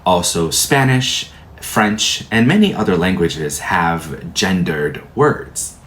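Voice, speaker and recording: A man whose first language is Portuguese, calmly explaining tarot in a measured, soft-spoken way. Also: sits low, low-quality recording. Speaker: tarot teacher. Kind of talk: calm explanatory lecture.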